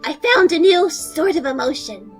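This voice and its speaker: A woman's voice: sits high, animated, moderate pace, faint music behind. A woman playing a haughty, threatening alien executioner with a mock-gracious manner in a comic skit. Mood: condescending.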